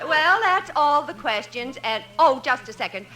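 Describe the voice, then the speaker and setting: An actress playing a businesslike college secretary, brisk and official, in a 1950s radio comedy. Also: female voice, high voice, expressive, very noisy.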